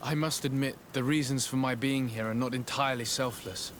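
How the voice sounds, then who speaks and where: An actor playing a courteous noble envoy in a fantasy game, speaking in a measured, formal way. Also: male voice, medium pitch, fairly even intonation, fairly bright tone, slight background noise, natural voice. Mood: composed. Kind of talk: scripted game cutscene acting.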